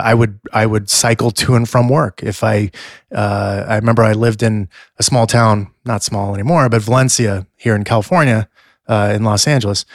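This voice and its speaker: A man's voice: on the low side, dry recording, clean studio sound. A middle-aged man with a scratchy voice, co-hosting a podcast in casual chat and rambling through fillers and restarts. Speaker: podcast co-host.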